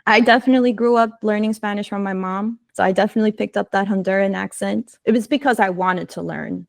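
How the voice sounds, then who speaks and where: A woman's voice: medium pitch, dry recording, studio quality. An American woman co-hosting a podcast, talking casually and reflectively in an earnest, explanatory way. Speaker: podcast co-host.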